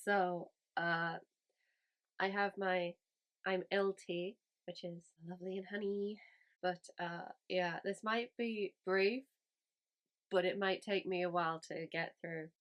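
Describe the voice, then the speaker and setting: A woman's voice: on the low side, steady tone, slow pace. A woman who is ill with a cold, chatting casually about a story she read, rambling with many fillers and restarts. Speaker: book review host. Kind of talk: casual book review chat.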